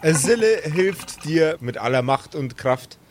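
A man's voice: on the high side, animated, measured pace, background noise. A man narrating an improvised tabletop game as game master, with a playful, teasing manner.

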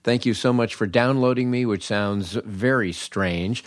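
An older American man tells funny stories as a podcast host. His voice sits on the low side, with a wry, understated delivery. The recording is close and dry, with no room sound. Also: unhurried, no room sound. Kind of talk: comic anecdotal storytelling.

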